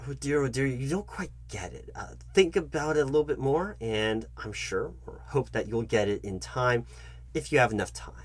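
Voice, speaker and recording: A man performing a comedic job interview roleplay, speaking straight to the listener in a fussy, halting, repetitive manner. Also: medium pitch. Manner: fussy, halting, repeats himself, addresses listener directly. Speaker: ASMR channel host acting as job interviewer.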